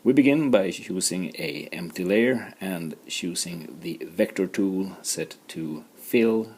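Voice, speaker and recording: A man's voice: sits low, expressive, slow, darkish tone, slightly rough. A man with a Swedish accent narrating a drawing tutorial, talking through each step plainly with some fillers and self-deprecating remarks. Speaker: art tutor.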